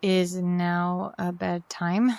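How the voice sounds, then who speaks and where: A woman's voice: on the low side, flat delivery, drawn-out words, creaky, darkish tone, no room sound, heavily acted. A woman playing a weary, polite visitor with a hesitant, downbeat manner in an audio drama. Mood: hesitant, concerned.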